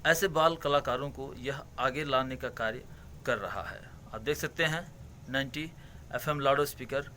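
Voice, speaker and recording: A man, working as a news reporter, asking formal questions with frequent hesitant pauses. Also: medium pitch, pushed and bright, a little hiss or hum. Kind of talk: news interview.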